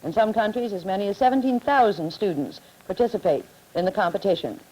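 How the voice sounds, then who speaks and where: A woman moderating a 1950s television forum, asking brisk, teasing questions of student guests. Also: mid-range voice, dark tone, breathy edge, low-quality recording.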